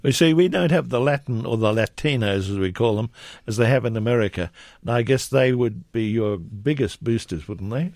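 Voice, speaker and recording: A man's voice: mid-range voice, animated, normal speed, a little mellow, no echo. A man hosting a radio interview in a studio, chatty and friendly as he asks questions, laughing easily. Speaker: radio host.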